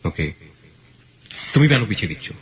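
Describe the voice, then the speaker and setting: A man's voice: fairly low pitch, sing-song, normal speed, highs cut off. A man with a Bangladeshi accent hosting a radio show, interviewing a guest about a real-life story with probing questions.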